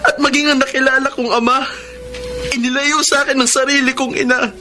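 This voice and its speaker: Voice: high voice, flat delivery, brisk, background noise, natural voice. An actor playing a hurt, bitter blind young son in a Tagalog radio drama, with accusing, tearful delivery over music. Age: child. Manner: accusing, tearful, impassioned outbursts.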